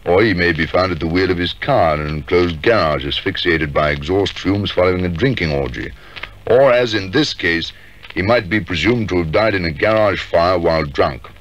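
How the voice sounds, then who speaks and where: Deep, grave detective narrator. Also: male voice, a bit bright. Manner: formal, measured. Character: grave, authoritative police detective introducing a case. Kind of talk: scripted 1950s radio narration. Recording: dull, hissy 1950s radio recording.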